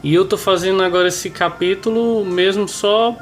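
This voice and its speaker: A Brazilian man with a nasal, hoarse voice, stuffed up with a cold, presenting and reading a religious book aloud. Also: high voice, steady tone, normal speed, a little hiss or hum. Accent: Brazilian Portuguese. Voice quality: nasal, congested. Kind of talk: informal remarks and devotional reading aloud.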